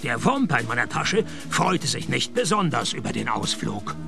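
An actor playing a wry, laconic private detective who narrates his case deadpan in a radio crime comedy. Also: mid-range voice, normal speed, vocal fry, music in the background. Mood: dry, deadpan.

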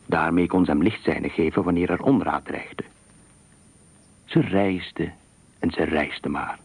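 A man narrating a literary radio thriller story in a measured, expressive storytelling manner. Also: low voice, slightly rough, no room sound.

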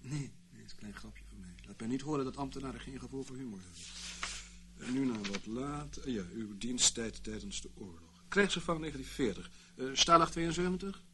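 Wry official, noisy recording. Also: male voice, medium pitch, expressive, brisk, bright, forceful tone. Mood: wry, probing. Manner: dry, ironic, mock-polite, leisurely officialese. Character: wry, sarcastic male intelligence official, calmly toying with his captive. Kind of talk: radio play acting. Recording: noisy old radio play recording.

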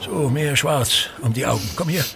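An actor playing a pompous, tyrannical ageing actor-manager, declaiming contemptuously and repetitively in a radio drama. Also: male voice, mid-range voice, normal speed, vocal fry, over music, lightly acted. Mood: imperious, nagging. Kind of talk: theatrical German radio drama monologue.

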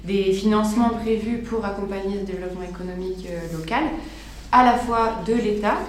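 A woman's voice: medium pitch, brisk, close to an everyday voice. A woman playing an official prefect in a very echoey room. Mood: formal. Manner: formal, official, hesitant. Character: formal female prefect. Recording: very echoey room, faint noise.

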